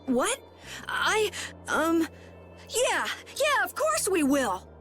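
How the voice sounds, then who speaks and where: High-pitched, excitable nervous boy. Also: extremely slow, faint music behind, exaggerated voice. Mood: flustered. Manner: boyish, hesitant, excitable. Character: eager, nervous, earnest young boy adventurer. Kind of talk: video game cutscene acting.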